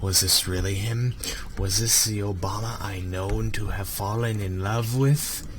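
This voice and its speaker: A man reading a story aloud in a theatrical, comedic way, often dropping into a hushed, breathy delivery. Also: lowish voice, steady tone, a little slow, slight background noise. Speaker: host reading fan fiction aloud to friends. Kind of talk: mock-dramatic comedic readalong.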